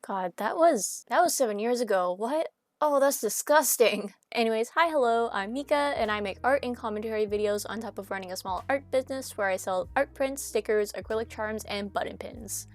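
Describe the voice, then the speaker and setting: A young woman from Canada talking through casual commentary, chatty and self-deprecating with quick asides, her voice a bit nasal from a cold. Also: a little high. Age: young adult. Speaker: commentary YouTuber.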